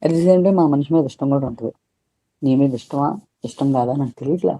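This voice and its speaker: A man's voice: mid-range voice, brisk, dark tone. A man in an informal private conversation on a recorded phone call, talking in a rambling, confessional and coarse way.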